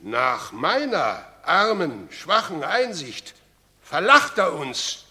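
Grumbling citizen, high bright voice, very slow. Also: male voice, sing-song, exaggerated voice. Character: doubtful Roman citizen, man. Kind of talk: classic stage radio drama.

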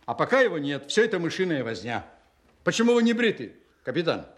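A man's voice: on the high side, sing-song, fast talker. A man acting a stern, impatient Soviet army general in a Russian radio play, speaking in a curt, commanding way. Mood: angry, reproachful. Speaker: actor in an audio drama.

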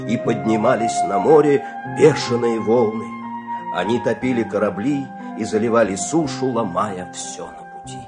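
A man narrating a fairy-tale audio play in a theatrical, expressive way, with dramatic pauses. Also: medium pitch. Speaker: storyteller, narrator.

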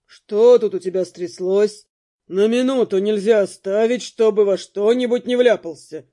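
A man's voice: sits high, unhurried, dark tone. An actor playing a lazy, dandyish robber in his twenties, languid and condescending, in an audiobook. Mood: lazy, exasperated.

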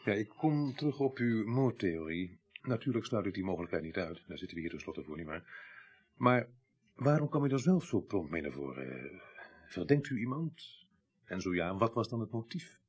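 A deep, slightly rough male voice with a Netherlands Dutch accent. He is a patient investigator in a radio crime drama, politely probing with measured questions. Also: sing-song.

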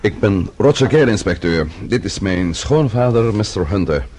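An actor playing an anxious, impatient young husband with a hot temper, in a radio play. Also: male voice, lowish voice, lively intonation, normal speed, a little mellow, a little hiss or hum, lightly acted. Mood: agitated, impatient. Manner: impatient, challenging.

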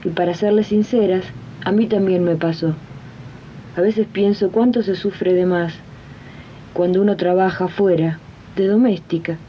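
A woman's voice: steady tone, unhurried, a little hiss or hum, natural voice. A woman playing a spirited, supportive domestic worker in a 1980s community radio drama, chatty and emphatic, with an Argentine accent. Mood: chatty, confiding. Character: spirited working-class domestic worker, supportive union friend. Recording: muffled, rumbly 1980s archive radio recording.

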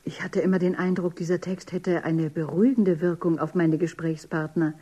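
A woman playing a composed, dreamy character in a dystopian radio drama, measured and articulate. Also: fairly low pitch, steady tone, warm tone, breathy edge. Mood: calm, defensive. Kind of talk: German radio drama acting.

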